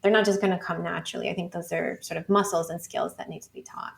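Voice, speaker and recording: A woman's voice: fairly low pitch, steady tone, fast, creaky. A woman explaining things informally as an interview guest, thinking aloud with plenty of "like" and "you know". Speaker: interview guest, data scholar and teacher.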